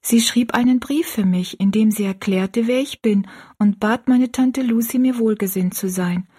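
A woman voicing a timid, earnest little orphan girl in an audiobook story, with a soft, breathy voice. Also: fairly high pitch, normal speed, voice pushed up. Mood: earnest, confiding. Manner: fearful, earnest.

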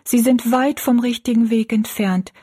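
A woman voicing an earnest, pious young girl in a storybook, gently and politely explaining things. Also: a little high, fairly even intonation, moderate pace, slightly rough, voice pushed up.

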